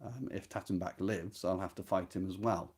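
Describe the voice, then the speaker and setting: A man's voice: sits low, steady tone, moderate pace, a little mellow, breathy edge. A man hosting an informal literary discussion, explaining conversationally and often turning to the listener with questions. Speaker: host of a book readalong. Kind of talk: informal literary discussion with short read-out passages.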